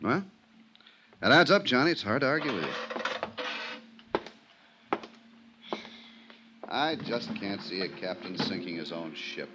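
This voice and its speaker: An actor playing a steady, loyal police inspector in a 1950s radio drama, plainspoken and businesslike. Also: male voice, fairly low pitch, normal speed, highs cut off, lightly acted. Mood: thoughtful, doubtful. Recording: noisy, crackly 1950s radio recording.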